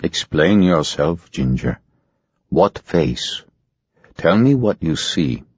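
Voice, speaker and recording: A man playing a slow, commanding hypnotist. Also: a bass voice, sing-song, a little mellow, breathy edge, dry recording, dull audio, heavily acted. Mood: soothing. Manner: soothing, slow, insistent commands. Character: calm, commanding male hypnotist.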